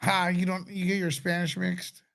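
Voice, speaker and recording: A man's voice: sits high, flat delivery, normal speed, creaky, fairly bright tone. A man with a nasal voice hosting a casual livestream, answering viewer questions in a laid-back way. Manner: laid-back, laughs often, mock radio-announcer bits. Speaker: show host.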